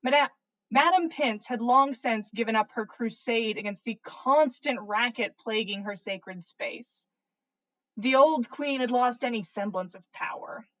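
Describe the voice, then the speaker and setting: A woman's voice: fairly high pitch, a little slow, a bit bright, rough recording. A woman reading fan fiction aloud as a podcast host, narrating with playful, theatrical emphasis. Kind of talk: dramatic story narration.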